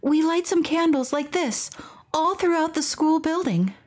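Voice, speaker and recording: A woman voicing an earnest, eager high school girl class representative in a horror video game. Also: expressive, a little slow. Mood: bright, eager.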